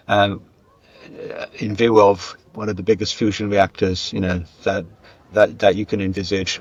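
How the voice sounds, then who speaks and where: A man's voice: lowish voice, no room sound, highs cut off. A man being interviewed as a guest writer, telling anecdotes conversationally and often repeating words as he feels his way through an explanation. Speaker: guest, screenwriter and novelist.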